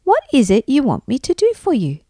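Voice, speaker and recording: A kind holy teacher, a man of calm authority in a children's storybook, voiced by a woman. The speech is measured and assured, fairly high in pitch, with a dry sound. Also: no room sound, heavily acted. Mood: gentle assurance.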